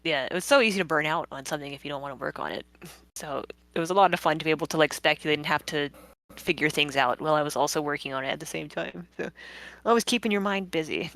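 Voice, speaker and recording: A woman's voice: sits low, fast talker, gravelly, fairly bright tone, dry recording. A woman giving rambling, self-deprecating, giggly commentary on her own creative work in a casual live chat. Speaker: comic creator giving behind-the-scenes commentary.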